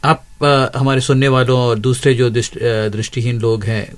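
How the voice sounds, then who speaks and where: Male radio interviewer, average pitch. Courteous, slightly halting questions in a conversational style. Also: fairly even intonation. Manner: polite, hesitant questioning with brief acknowledgements.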